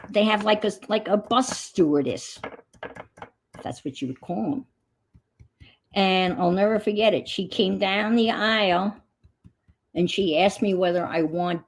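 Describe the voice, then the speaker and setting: A middle-aged woman from Long Island with a light New York accent, talking slowly through a craft session. Her voice is gravelly and a bit husky, and she rambles and mutters to herself as she works. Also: on the low side. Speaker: craft vlogger. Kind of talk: casual chat while painting.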